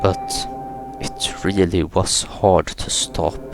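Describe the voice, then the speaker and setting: A man reading the text of a visual novel aloud in a measured, halting way, with pauses between phrases. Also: low-pitched, fairly even intonation, vocal fry. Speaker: gaming video host reading aloud. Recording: loud music behind the voice. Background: loud game music.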